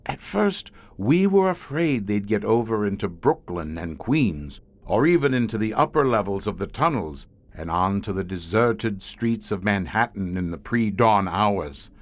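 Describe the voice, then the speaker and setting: Slow, low-voiced grim monster hunter, played by a man. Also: big pitch swings. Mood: grave, confiding. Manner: ornate, deliberate, dramatic storytelling with wry asides. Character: grim, haunted male police monster-hunter scientist in horror tale. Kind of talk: audio horror drama acting.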